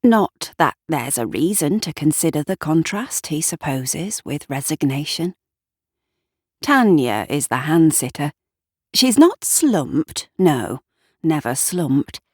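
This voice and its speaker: Slow, low-voiced woman storyteller, wry. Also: big pitch swings, darkish tone, dry recording, studio quality. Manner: wry, conversational asides to the listener. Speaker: storyteller narrating audio fiction. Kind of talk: dramatic scripted narration with character dialogue.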